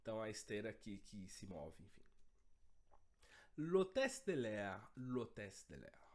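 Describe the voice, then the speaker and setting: A man with a hoarse, cold-roughened voice teaches an online language lesson. His pitch swings widely as he talks, lively and animated. He keeps checking in with his students as he goes. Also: slow pace. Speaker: French teacher.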